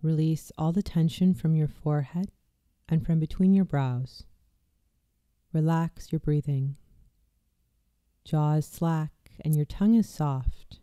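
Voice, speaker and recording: A meditation guide narrating a guided visualization in a slow, soft, soothing delivery with hushed moments. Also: medium pitch, smooth, no room sound, clean studio sound.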